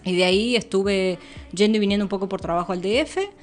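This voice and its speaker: A woman speaking as a podcast guest in a relaxed, rambling way, full of fillers and restarts and quick to laugh. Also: mid-range voice, soft background music. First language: Spanish, with English since early childhood. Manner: rambling, frequent fillers and self-interruptions, laughs easily. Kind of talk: casual conversational interview.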